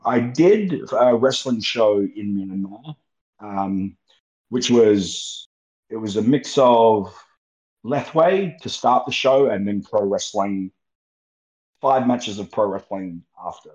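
A young Australian man with a fairly low voice chats loosely as an interview guest, drifting through long stories and laughing easily. His voice comes through a remote call, muffled and lo-fi, with the top end dulled. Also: takes it slowly. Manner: relaxed, rambling, laughs easily. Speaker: guest wrestler. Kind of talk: casual interview chat.

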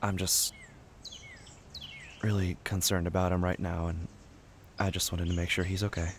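A man playing a worried, earnest young male camper in a naturalistic audio drama, speaking politely and haltingly. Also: low-pitched, flat delivery, a little hiss or hum. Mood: worried, hesitant.

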